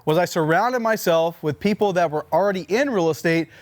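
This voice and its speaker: A man's voice: high-pitched, brisk, dry recording. A man hosting an instructional vlog as a real estate investor, talking informally and emphatically in a motivational style. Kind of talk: upbeat instructional talk to camera.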